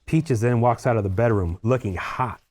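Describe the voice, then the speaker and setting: A man's voice: mid-range voice, normal speed, darkish tone. A man narrating the stage directions of a screenplay as a scripted read.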